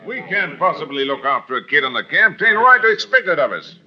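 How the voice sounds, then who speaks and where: An actor playing a skeptical, grumbling miner in an old radio drama, blunt and rustic. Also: male voice, fairly high pitch, very animated, brisk. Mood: objecting. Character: skeptical, grumbling male mining-camp man. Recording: dull vintage radio recording, crowd behind.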